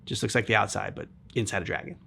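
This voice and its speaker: A man hosting a game reaction video, chatting casually in a halting, rambling way with many filler words. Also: on the low side, vocal fry, sharp tone. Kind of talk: casual chat commentary.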